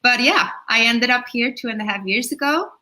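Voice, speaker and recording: A woman's voice: a little high, animated, normal speed, bright, forceful tone. A woman in her thirties from Switzerland, a yoga teacher speaking casually as an interview guest, with Swiss German as her first language. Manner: chatty, laughs between thoughts.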